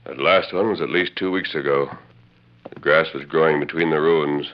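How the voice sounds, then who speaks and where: A man playing a resolute masked western hero, firm and measured, in a 1940s radio drama. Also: rumbling low, steady tone. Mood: thoughtful. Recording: muffled old radio recording.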